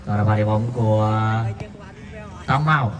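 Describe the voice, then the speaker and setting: Distant male amateur football commentator. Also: a little slow. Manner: reads viewer messages between plays, jumps sharply higher on shots. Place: outdoor floodlit five-a-side pitch at night.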